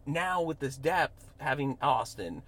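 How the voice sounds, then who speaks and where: A man's voice: medium pitch, animated, takes it slowly, a bit bright. A man co-hosting a casual sports podcast, hesitant, often stammering and restarting his sentences. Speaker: podcast co-host.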